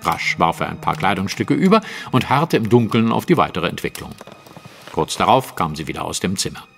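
An actor playing a confident, analytical detective in a German audio drama, setting out a case in articulate, precise, dramatic storytelling. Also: male voice, low voice, very animated, brisk, gravelly, fairly bright tone, soft background music, full character voice. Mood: absorbed, dramatic. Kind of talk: German audio drama acting, narrative monologue.

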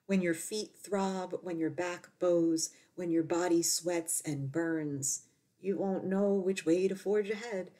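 A woman poet reading her poetry aloud in short, deliberate phrases with pauses. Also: lowish voice, darkish tone.

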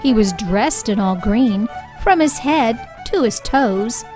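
High-voiced, unhurried woman reading a storybook. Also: over music. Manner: deliberate, expressive phrasing. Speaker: storybook reader. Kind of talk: children's read-aloud narration.

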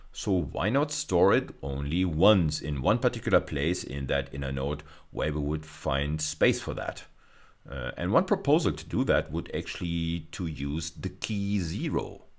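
A middle-aged man with a German accent giving a recorded university lecture, explaining step by step with frequent fillers. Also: rumbling low.

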